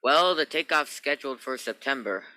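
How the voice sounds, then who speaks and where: A man's voice: medium pitch, animated, normal speed, bright, forceful tone, low-quality recording. A man playing a commanding middle-aged Navy rocket captain with strong feeling in a radio play. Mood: happy.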